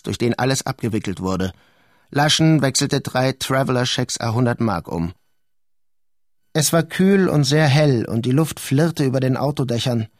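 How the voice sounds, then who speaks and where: An actor playing a brooding, detached narrator in a literary radio drama, speaking in a measured, sombre way. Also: male voice, mid-range voice, no room sound, studio quality, natural voice. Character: brooding, detached man narrating a war-torn city. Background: music behind the voice.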